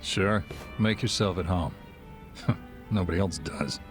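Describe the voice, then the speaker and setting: An actor playing an easygoing middle-aged bartender with a knowing, gruff manner, in a video game dub. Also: male voice, deep voice, slow pace. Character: laid-back middle-aged male bartender. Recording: game cutscene with music behind.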